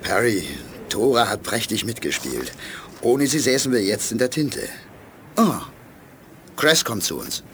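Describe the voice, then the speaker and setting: A man's voice: lowish voice, very animated, a little slow, long pauses, background noise. A man playing a blunt, sceptical astronaut sidekick in a 1980s German radio play, with a colloquial way of talking. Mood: gloomy, wry.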